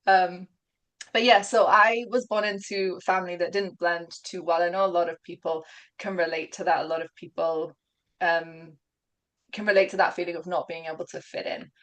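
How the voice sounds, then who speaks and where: A woman's voice: on the low side, steady tone, moderate pace, a bit bright. Earnest woman with a mixed British accent. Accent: mixed British and Gibraltarian. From England and Gibraltar. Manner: open, earnest, laughs often while telling her story. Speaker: guest. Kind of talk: personal story in an interview.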